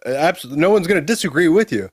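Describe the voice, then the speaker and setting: A man's voice: fairly high pitch, lively intonation, fast, breathy edge, no echo, clean studio sound. A man co-hosting a casual news show, explaining things informally and giving his opinions. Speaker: co-host of a gaming news show.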